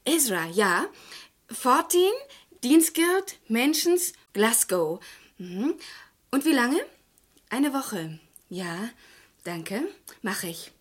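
A woman's voice: a little high, big pitch swings, drawn-out words, long pauses, full character voice. An actress playing a composed, inquisitive woman in a German radio crime play, speaking in a matter-of-fact, probing way. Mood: businesslike.

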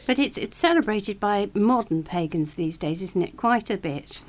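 Female podcast host, medium pitch, inquisitive and conversational. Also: animated, moderate pace. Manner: inquisitive, brief affirmations.

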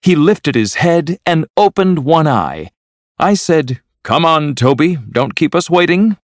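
Storybook gentleman, played by a male reader: excited, incredulous, pitched high, very lively. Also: slow, gravelly, no echo, studio quality. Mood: excited, astonished. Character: excited, astonished English gentleman host. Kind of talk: storybook character voice.

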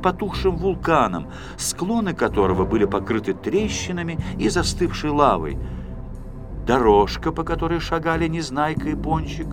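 Male narrator for children's audio drama: expressive, dramatic, widely varied intonation, light music bed. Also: medium pitch.

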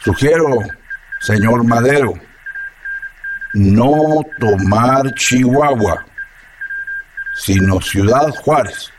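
A formal colonel giving counsel in a radio drama. He speaks slowly and with measure, over clear music. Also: mid-range voice, low-quality recording. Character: measured, formal male colonel advising his leader.

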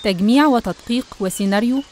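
A woman's voice: a little high, fast, a little mellow, a little hiss or hum. A woman with an Egyptian accent reading scripted religious narration.

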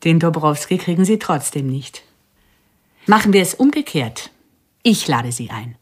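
Radio crime drama: a self-assured woman killer, husky and lowish, brisk and sardonic. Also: lively intonation, darkish tone, heavily acted. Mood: defiant, sardonic. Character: Anna Gemini, cool, self-assured female contract killer, a mother. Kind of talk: radio play dialogue and inner monologue.

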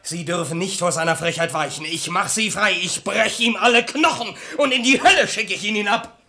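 An actor playing an impetuous, hot-headed young son, declaiming verse in a radio play. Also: male voice, high voice, a little fast, sharp tone. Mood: furious, threatening. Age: young adult.

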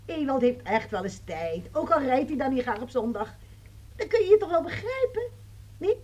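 An actress playing a gossipy, opinionated housewife making emphatic excuses on the telephone in a radio play. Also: female voice, sits high, big pitch swings, normal speed, darkish tone. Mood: defensive, insistent. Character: chatty, opinionated housewife defending her family to friend. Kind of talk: radio play acting, one side of a phone call.

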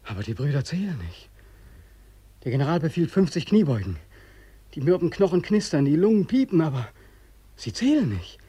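An actor playing a haunted, sleepless young ex-sergeant in a German radio play, speaking with insistent, feverish intensity in a husky voice. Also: male voice, fairly high pitch, very animated, moderate pace, long pauses, dark tone. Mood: feverish, urgent. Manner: intense, urgent, insistent repetition.

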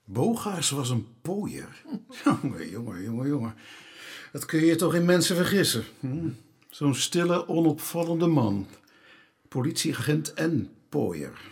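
An actor playing a world-weary police inspector in a radio play, with a dry, teasing, sighing manner. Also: male voice, on the high side, sing-song, measured pace, vocal fry, breathy edge, full character voice. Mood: wry disbelief. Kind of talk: Dutch radio play acting.

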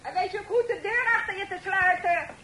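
High-voiced brusque housemaid. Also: female voice, fairly quick. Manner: brusque, bossy, familiar. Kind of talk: period radio play acting. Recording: echoey, noisy old radio tape.

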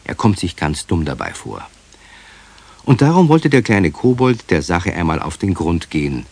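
A man's voice: deep voice, very animated, moderate pace, creaky, a little mellow, heavily acted. A man acting as narrator of a children's radio play, telling the story in a scripted storytelling style.

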